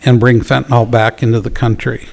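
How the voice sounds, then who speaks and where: A man presenting at a public town council meeting, talking in a measured, earnest way with fillers and pauses. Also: mid-range voice, little pitch movement, vocal fry, darkish tone. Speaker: youth program presenter.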